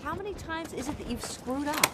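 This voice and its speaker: A woman's voice: high voice, lively intonation, fairly quick, heavy background noise. A woman playing a demanding, scolding boss in a video game, blunt and fed up. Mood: exasperated, scolding. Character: exasperated, demanding woman fed up with drunk underling. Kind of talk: video game character acting.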